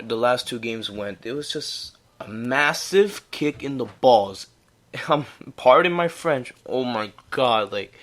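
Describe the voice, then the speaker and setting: A man's voice: mid-range voice, a bit bright. A young man casually talking through a solo sports recap, chatty and rambling with laughs. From United States. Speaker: podcast host.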